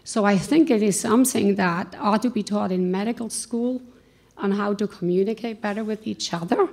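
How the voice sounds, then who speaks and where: A woman's voice: medium pitch, moderate pace, creaky, darkish tone. A woman from the United States making an earnest, insistent comment from the audience at a conference panel, speaking into a handheld microphone in a large hall. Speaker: audience member asking a question. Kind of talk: spontaneous comment from the floor.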